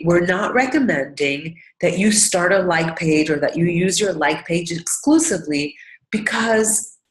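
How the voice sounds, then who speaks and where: A woman's voice: lowish voice, reverberant, low-quality recording. A woman with a congested, stuffy nose coaching new team members on a training call, upbeat and encouraging, often checking in with "right?" and "okay?". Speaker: business coach.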